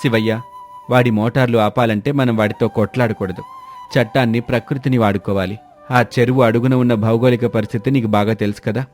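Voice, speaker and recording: A man playing a clever, confident young village man, reassuring and measured, in a moral story. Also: medium pitch, steady tone, a little mellow, soft background music, close to an everyday voice. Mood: resolute.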